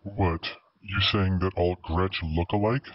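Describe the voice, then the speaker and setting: Man acting as gruff male alien pilot, deep voice, blunt, bossy, curt, dry close sci-fi audiobook. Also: steady tone, slow, no room sound, dull audio. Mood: grumbling.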